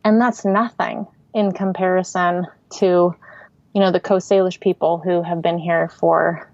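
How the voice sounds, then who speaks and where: A woman's voice: fairly low pitch, little pitch movement, measured pace, darkish tone, no room sound, muffled recording. A woman from the Pacific Northwest who grew up speaking Swedish at home, talking reflectively as a podcast guest, with frequent fillers. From Pacific Northwest, United States. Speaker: podcast guest, writer and artist. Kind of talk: casual interview conversation.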